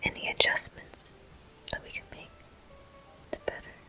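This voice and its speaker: A woman hosting a gameplay video in ASMR style, murmuring softly and gently as she comments. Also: faint music behind, rough recording. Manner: soft, gentle, unhurried murmuring. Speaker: gameplay host.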